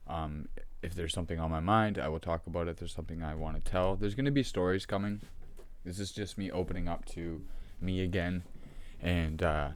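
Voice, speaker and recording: Rough-throated man rambling to camera. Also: deep voice, a little hiss or hum. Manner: rambling, halting, many ums and restarts. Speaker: vlogger. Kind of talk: casual talk to camera.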